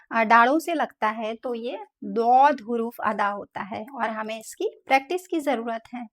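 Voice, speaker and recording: Soft-spoken woman teaching letter sounds. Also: fairly high pitch. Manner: slow, careful demonstration of letter sounds with repetition. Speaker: Quran pronunciation teacher. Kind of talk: step-by-step tajweed lesson.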